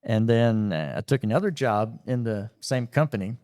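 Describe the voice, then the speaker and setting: A middle-aged man who works as a radio morning show host and station manager, telling his career story in a relaxed way with frequent fillers. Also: on the low side, moderate pace, no echo, clean studio sound. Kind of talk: casual interview chat.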